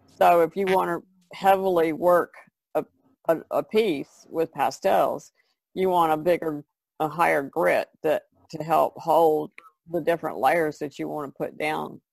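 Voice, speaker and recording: A woman artist on a podcast panel, casually explaining things in a halting, thoughtful way. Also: low-pitched, steady tone, vocal fry, a little mellow, no room sound, low-quality recording.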